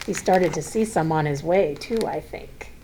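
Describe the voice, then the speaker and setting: A woman reading a picture book aloud to children, expressive, with chatty asides, questions and simple explanations. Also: low-pitched, normal speed, a little hiss or hum, poor audio.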